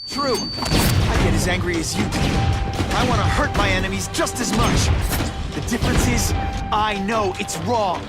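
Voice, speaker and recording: An actor playing an earnest, wisecracking young American superhero in a video game. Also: male voice, sits high, a little slow, over loud music. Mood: angry, defiant. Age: young adult. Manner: earnest, quippy, emphatic.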